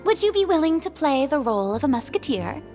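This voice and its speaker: A lively, bossy young director. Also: female voice, high-pitched, in a large hall, faint music behind. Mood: eager. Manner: energetic and commanding, quick to organize. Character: eager young woman directing a film. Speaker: film director.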